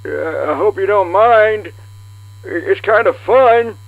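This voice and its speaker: A man acts a chatty old grandpa from a retirement home in a comedy podcast. He rambles hesitantly in a high, folksy voice over a tinny, humming phone line. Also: takes it slowly, vocal fry, no echo, heavily acted. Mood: befuddled, chatty.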